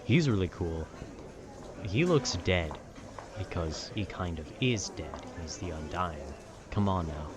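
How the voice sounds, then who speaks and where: A young man rambling casually in a nerdy, slangy, meandering way, often trailing off and losing his thread. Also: low-pitched. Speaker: audio content creator rambling to listeners. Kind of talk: casual rambling talk about video games. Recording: clear background noise, urban ambience.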